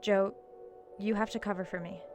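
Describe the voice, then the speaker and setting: A woman's voice: medium pitch, steady tone, moderate pace, close to an everyday voice. Actress as earnest young college woman over music. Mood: guarded, earnest. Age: young adult. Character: steady, wry young college student, secretive granddaughter. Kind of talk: audio drama acting.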